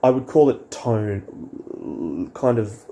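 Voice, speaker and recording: A man's voice: on the low side, expressive, very slow, dark tone, slight background noise. A man co-hosting a casual chat about music and films, often hesitant and searching for words. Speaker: co-host reviewing music and films.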